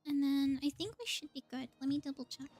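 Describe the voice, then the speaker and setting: A woman's voice: sits high, lively intonation, brisk, darkish tone, dry recording, faint music behind. A woman live-streaming a video game, chatting casually and thinking aloud as she plays.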